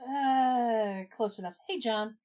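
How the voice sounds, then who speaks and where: A woman's voice: fairly high pitch, takes it slowly, highs cut off, crowd noise. A woman playing a sensible gnome who takes charge in an amateur comedic fantasy audio drama. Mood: puzzled, managing.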